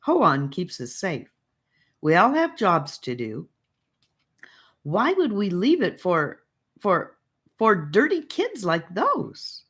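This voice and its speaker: A woman voicing a thoughtful, stern older boy leader who speaks slowly and lectures in an audiobook story. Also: on the low side, huge pitch swings, fairly bright tone, slightly rough, pitched above the performer's own voice, full character voice. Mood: defensive. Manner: slow, deliberate, lecturing.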